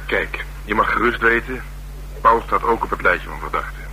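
A worldly, gruff police detective in a radio play, with a low voice. He talks in streetwise slang, dry and wry. The recording carries clear noise. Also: moderate pace, sharp tone. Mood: wry, matter-of-fact. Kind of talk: Dutch radio drama dialogue. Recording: noisy radio drama recording.

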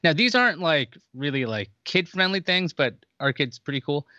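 A man's voice: on the high side, animated, normal speed, bright, forceful tone, no room sound. A man co-hosting a podcast, chatting casually and recommending a show with enthusiasm. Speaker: podcast co-host. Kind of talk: casual chat. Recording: dull lo-fi dry sound.

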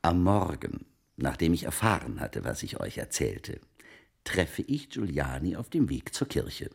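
An actor playing a wealthy, wily merchant of old Italy in a German radio play, speaking formally and deliberately in a gravelly, husky voice. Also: male voice, very deep voice, sing-song, exaggerated voice. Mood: confiding, intense. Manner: formal archaic phrasing, deliberate storytelling.

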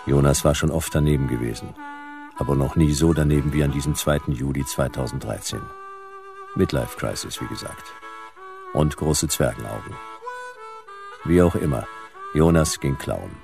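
A man's voice: rumbling low, normal speed, vocal fry, long pauses, music in the background. A man playing a world-weary middle-aged private detective, narrating a noir radio play in a laconic, dry, clipped way. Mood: wry, laconic.

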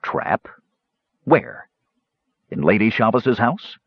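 Guy doing a big blunt warrior brother, easily riled, earnest, low husky voice, fantasy audiobook. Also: sing-song, normal speed, no room sound, muffled recording. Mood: concerned, upset.

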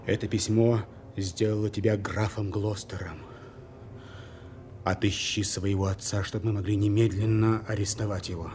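Man playing a low-voiced vengeful duke. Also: flat delivery, moderate pace, darkish tone, a little hiss or hum, close to an everyday voice. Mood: commanding. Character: vengeful, commanding villainous duke. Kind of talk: theatrical audio drama acting.

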